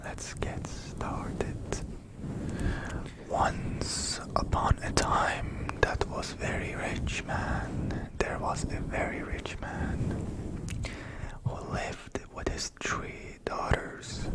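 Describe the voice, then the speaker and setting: Slow, breathy ASMR whisper. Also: poor audio. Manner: slow, deliberate, repeats phrases softly. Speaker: ASMR storyteller. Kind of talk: whispered ASMR bedtime storytelling.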